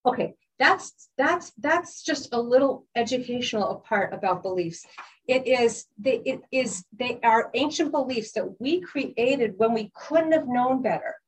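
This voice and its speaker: A woman's voice: fairly high pitch, animated, a little slow, poor audio. A woman life coach giving an earnest teaching talk, often restarting and repeating herself as she explains.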